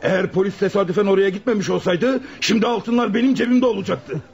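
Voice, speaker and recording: A man's voice: sits high, fairly even intonation, fast talker, a little mellow. An actor playing a bullying, hot-tempered male gang boss in a radio play, taunting and giving orders. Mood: angry. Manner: taunting, commanding. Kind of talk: Turkish radio theater acting. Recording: dull lo-fi radio recording.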